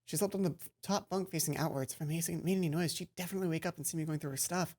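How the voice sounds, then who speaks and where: A woman's voice: deep voice, rapid-fire. A woman let's player reading a visual novel aloud expressively, now and then stumbling and repeating a line. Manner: expressive, stumbles and rereads lines.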